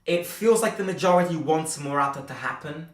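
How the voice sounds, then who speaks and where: A man's voice: on the high side, a little fast. A man hosting a live football fan stream, chatting conversationally and hesitantly, often repeating himself as he goes. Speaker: fan channel host and streamer. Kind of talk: live-stream football chat, reading viewer comments. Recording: roomy echo, distant from microphone.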